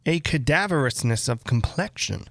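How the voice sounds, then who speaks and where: A man reading a Gothic tale aloud as a literary narrator, in deliberate phrases with frequent pauses. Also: medium pitch, expressive, vocal fry, dry recording, clean studio sound. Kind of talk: measured literary audiobook narration.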